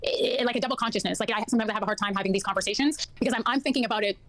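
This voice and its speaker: A woman in a conversation, making a careful argument with frequent pauses and restarts. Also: medium pitch, fairly bright tone, no room sound. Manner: measured, hesitant, many ums and restarts. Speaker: debate guest.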